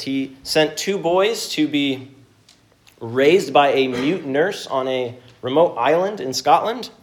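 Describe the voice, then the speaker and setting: A man preaching a sermon, reading scripture, praying and then teaching in an earnest, measured way with pauses. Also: a little high. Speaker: pastor.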